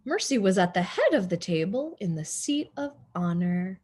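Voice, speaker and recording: A woman teacher reading a storybook aloud to children, animated and full of asides and questions to her young listeners. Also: mid-range voice, moderate pace, slightly rough.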